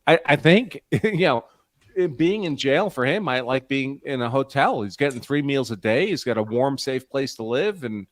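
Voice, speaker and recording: Male panel guest, mid-pitched, conversational and hedging, very dry sound. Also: animated, moderate pace, no echo. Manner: hedging, conversational, thinking aloud. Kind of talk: casual panel chat.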